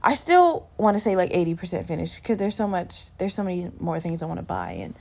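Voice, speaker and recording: Gravelly just-woken woman podcaster. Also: lowish voice, animated, fairly quick, darkish tone. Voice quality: slightly gravelly morning voice. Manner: rambling, candid, self-deprecating, swears casually. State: just woke up. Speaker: podcast host. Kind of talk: casual solo podcast chat.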